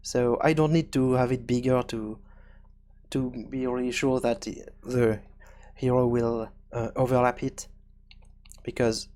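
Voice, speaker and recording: A man narrates a software tutorial in an unscripted way. His voice sits at a middling pitch, and he speaks haltingly, often pausing partway through a sentence as he explains each step. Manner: halting, explanatory, frequent pauses mid-sentence. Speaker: tutorial presenter.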